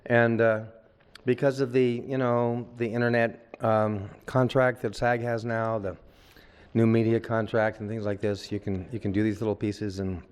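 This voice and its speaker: A man's voice: on the low side, flat delivery, moderate pace, smooth. An older man, a veteran actor, chatting at length in an on-set interview, rambling with many asides.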